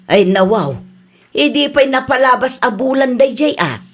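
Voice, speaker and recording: A woman's voice: sits high, expressive, moderate pace. Woman as scolding older Ilocano mother. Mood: reproachful. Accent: Ilocano Filipino. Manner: reproachful, motherly. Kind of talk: radio comedy drama acting.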